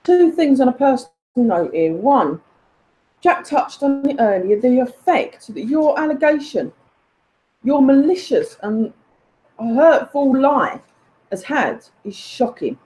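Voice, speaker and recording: A woman's voice: sits high, sing-song, takes it slowly, mellow, poor audio. A woman delivering an indignant, emphatic personal rant, addressed accusingly to someone who is absent.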